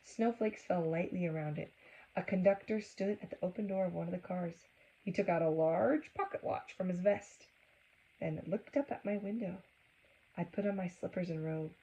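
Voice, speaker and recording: A woman reading a storybook aloud for children, gently engaging them with asides and questions. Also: fairly low pitch, moderate pace, muffled recording.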